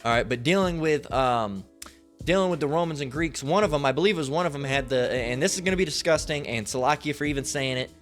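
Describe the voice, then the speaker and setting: A man's voice: on the high side, expressive, fast, fairly bright tone. Casual, stumbling man with faint music. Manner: loose and profane, stumbles over hard words; thinks aloud while searching online. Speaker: online religious commentator. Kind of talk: casual scripture reading and commentary.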